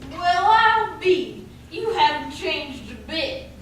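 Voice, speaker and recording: Bright, slow-talking flirty classmate, acted by a woman. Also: very high-pitched, very animated, heavily acted. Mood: teasing. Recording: very echoey room, distant mic, faint noise.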